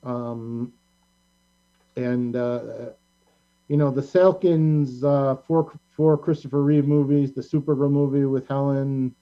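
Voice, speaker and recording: A man with an average-pitched voice hosts a casual chat about pop culture. He is opinionated and rambles, often halting as he goes. The recording is dull and band-limited. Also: fairly even intonation. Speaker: talk show host.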